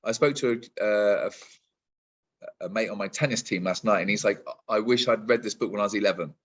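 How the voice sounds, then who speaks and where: Man in his forties, low voice, relaxed and rambling, muffled lo-fi video call audio. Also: fairly quick. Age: 40s. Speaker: business coach. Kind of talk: casual interview answers.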